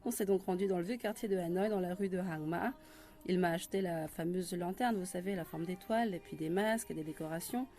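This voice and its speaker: Reflective french-raised woman, mellow lowish voice, talks slow and thoughtful with little pauses. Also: faint music behind. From France. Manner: reflective, measured, with hesitations. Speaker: interviewee. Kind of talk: personal testimony.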